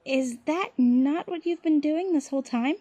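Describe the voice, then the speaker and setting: A woman playing a gentle, patient young woman who explains things softly in an audio drama. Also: sits high, normal speed, dry recording, lo-fi sound, close to an everyday voice. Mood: gentle, explanatory.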